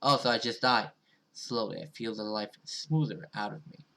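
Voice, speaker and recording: A man's voice: fairly low pitch, normal speed, gravelly, pushed and bright. A man hosting a gaming video, reading visual novel text aloud with casual commentary and sometimes stumbling over and repeating words.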